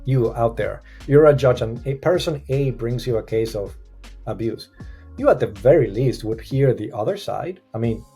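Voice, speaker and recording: A man's voice: medium pitch, expressive, normal speed, mellow, slightly rough, faint music behind. A man who grew up in Costa Rica, talking in a casual podcast discussion. He speaks animatedly, restarts often and acts out the people he quotes.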